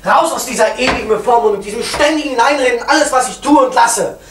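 A man's voice: very high-pitched, quick pace, noisy background. An actor playing a troubled young man who talks in an agitated, rebellious way in an old radio drama. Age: young adult. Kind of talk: 1970s-80s radio drama acting.

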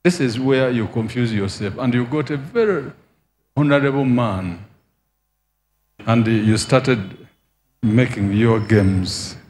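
A man's voice: medium pitch, takes it slowly, long pauses, a little mellow, slight background noise. A man leading a prophetic deliverance session who makes firm, declarative pronouncements and commands spirits to leave.

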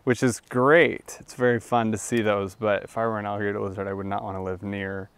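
A man in his twenties chatting casually and excitably as he hosts an outdoor vlog, rambling along into a lapel mic. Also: lowish voice, brisk, clear, resonant tone, no echo. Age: 20s. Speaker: vlog host and reptile enthusiast. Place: outdoors in open fields, some in a parked car. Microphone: clip-on lapel mic.

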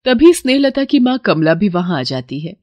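A woman narrating a cartoon moral story in steady scripted style. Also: medium pitch, moderate pace, no room sound.

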